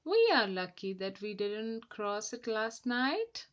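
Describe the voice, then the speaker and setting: A woman's voice: medium pitch, sing-song, slow, dull audio. A woman voicing a nervous, grumbling male steam engine from a children's storybook, with an anxious, blustering manner. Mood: uneasy.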